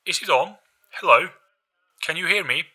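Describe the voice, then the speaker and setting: A man voicing a welcoming, joyful older British gentleman who lives inside a magical gramophone in an audio story. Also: fairly high pitch, moderate pace, husky, pitched above the performer's own voice, full character voice. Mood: eager, welcoming. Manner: joyful, genteel, eagerly polite.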